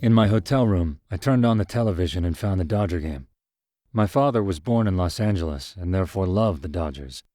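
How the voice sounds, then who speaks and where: A man with a very low, deep voice reads a short story in the first person. His pacing is calm and measured. The close studio recording is dry, with no room sound. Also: no room sound, soft background music. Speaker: narrator. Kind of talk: scripted narration of a short story.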